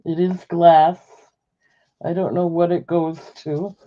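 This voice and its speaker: A hoarse woman running a live-stream auction, chatty and unhurried, pausing to cough. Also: fairly low pitch, level tone, slight background noise. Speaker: online auctioneer. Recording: dull lo-fi live-stream audio.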